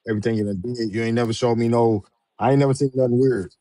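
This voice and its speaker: A man's voice: medium pitch, steady tone, fast talker, mellow. A man hosting a show, chatting casually in an informal, slangy way. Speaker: show host. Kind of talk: casual live interview chat.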